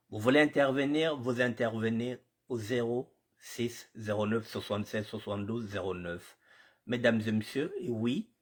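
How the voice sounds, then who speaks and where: A husky-voiced man hosts a live commentary show. He has a cold and speaks at a middling pitch. He greets viewers formally, repeats himself and slips into Lingala. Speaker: online show host.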